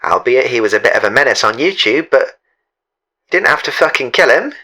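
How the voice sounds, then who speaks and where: A man playing a rambling washed-up ex-doctor in a comic mock interview, halting and repeating himself. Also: mid-range voice, lively intonation, sharp tone, husky, pitched above the performer's own voice. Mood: mildly reproachful. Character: rambling washed-up ex-doctor living on a park bench. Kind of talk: mock documentary interview, comic improvised monologue.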